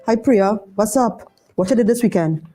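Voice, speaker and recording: A woman voicing Sheldon, a curious, soft-hearted Trinidadian schoolboy in a puppet show, full of questions and wows. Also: mid-range voice, moderate pace, faint music behind, lightly acted. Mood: telling. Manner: wide-eyed questions, lots of wows.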